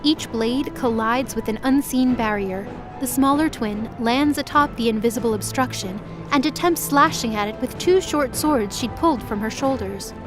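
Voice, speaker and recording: A woman's voice: fairly high pitch, normal speed. A woman reading dramatic scripted narration as the storyteller of an audio drama. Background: music.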